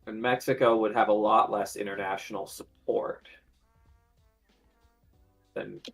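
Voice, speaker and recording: A man's voice: lowish voice, steady tone, a little slow, gravelly, fairly bright tone, breathy edge, soft background music. A man taking part in a casual panel discussion.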